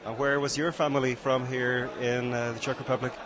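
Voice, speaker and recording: A man's voice: mid-range voice, flat delivery, a little fast. A male interviewer asking casual, curious questions at an outdoor event. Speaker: radio interviewer. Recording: on location with crowd chatter. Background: crowd chatter.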